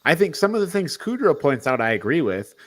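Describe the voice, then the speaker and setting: A man's voice: on the high side, big pitch swings, a little fast, fairly bright tone. Man chatting casually through a film review. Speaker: podcast host.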